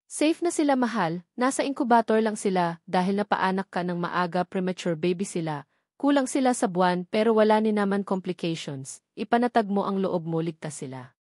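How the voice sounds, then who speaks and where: A woman's voice: medium pitch, lively intonation, fairly quick, darkish tone, no room sound, close to an everyday voice. A tender new father, acted out by a woman narrator in a romance audiobook. He is soothing and affectionate toward his wife. The recording is dry and free of room sound. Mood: reassuring.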